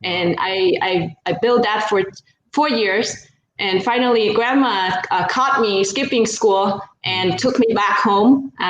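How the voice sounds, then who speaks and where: A woman's voice: medium pitch, highs cut off. A woman with a Vietnamese accent speaks over a video call, earnest and modest as she tells her story. Her voice sits mid-range for a woman, and the room around her rings noticeably. A faint hiss runs underneath. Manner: modest, earnest, with small restarts. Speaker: guest, business partner. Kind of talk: personal life story in a casual interview. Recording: roomy with faint background noise.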